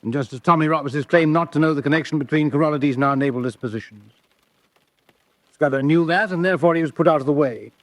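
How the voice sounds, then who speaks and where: A man playing a senior official in a radio spy play, his voice on the high side and dryly recorded. He is curt and sceptical, with pompous, precise diction. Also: fast talker, no room sound, lightly acted. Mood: dismissive. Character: brusque, sceptical senior British government official. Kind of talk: old-fashioned radio drama acting.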